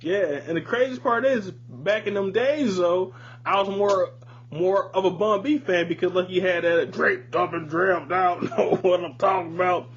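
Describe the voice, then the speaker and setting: Male podcast co-host, high-pitched voice, slangy and profane, emphatic, casual chat, dull audio with some noise. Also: normal speed. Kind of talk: casual music discussion.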